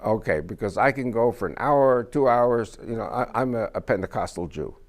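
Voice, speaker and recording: A man's voice: medium pitch, lively intonation, normal speed. An older man with Spanish as his first language, giving an informal, rambling talk to a small group as guest speaker and joking as he goes. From United States.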